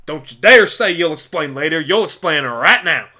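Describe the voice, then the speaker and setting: A man's voice: fairly high pitch, animated, moderate pace, bright, forceful tone, full character voice. A man voicing a stubborn, forthright female farm pony with a folksy way of talking in an amateur radio play. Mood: stern, suspicious.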